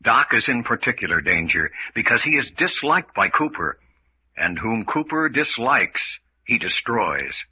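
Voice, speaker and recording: Sharp, portentous radio announcer. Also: male voice, mid-range voice, big pitch swings, a little slow, slight background noise, exaggerated voice. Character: grave, portentous mystery announcer. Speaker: radio drama narrator. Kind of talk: old-time radio scripted narration. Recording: dull lo-fi radio recording. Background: music.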